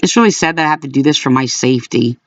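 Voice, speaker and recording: A man speaking informally as an aggrieved caller, in a halting, repetitive way, recording complaints about calls. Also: mid-range voice, fairly bright tone, no room sound, far from the mic, dull audio. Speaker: caller recording own phone calls to a police dispatcher. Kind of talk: informal complaint to camera and on phone.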